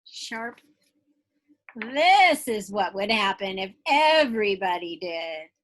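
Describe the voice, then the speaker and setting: Bright-voiced woman reading slowly to children. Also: mid-range voice. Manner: expressive, stretching out read phrases, questioning listeners directly. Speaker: teacher reading to young students. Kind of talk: children's picture-book read-aloud with asides.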